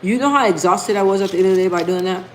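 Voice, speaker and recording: A woman's voice: mid-range voice, rapid-fire, slight background noise. A woman hosting a casual live stream, talking emphatically, repeating key phrases and reading viewer comments aloud. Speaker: livestream host.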